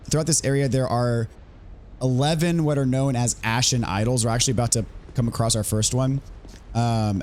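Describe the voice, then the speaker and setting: A man with a hoarse voice he is still getting back, casually explaining a game walkthrough in a relaxed way, with frequent ums and restarts. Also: mid-range voice, slight background noise. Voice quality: recovering from voice loss. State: hoarse, recovering voice. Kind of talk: casual gameplay commentary.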